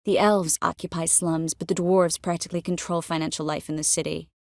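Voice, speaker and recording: A woman's voice: fairly low pitch, little pitch movement, a little fast, vocal fry, no room sound, clean studio sound. A woman voicing a composed, caring young sorceress in a video game, earnest with a wry edge. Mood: serious, determined. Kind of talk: game dialogue acting.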